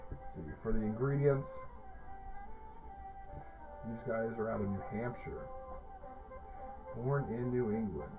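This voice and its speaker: Man, mid-pitched, casual review host, enthusiastic, rambling, muffled lo-fi audio. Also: takes it slowly, pauses often. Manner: enthusiastic, rambling, lots of fillers and restarts. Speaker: hot sauce reviewer, show host. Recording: dull lo-fi sound with faint music.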